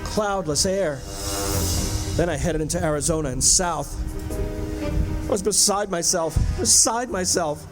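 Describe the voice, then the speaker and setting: Male actor as frightened motorist, high voice, halting and agitated, radio drama, prominent music underneath. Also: natural voice. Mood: haunted, fearful. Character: desperate, haunted male motorist telling his frightening road story. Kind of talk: stage radio drama acting with first-person narration.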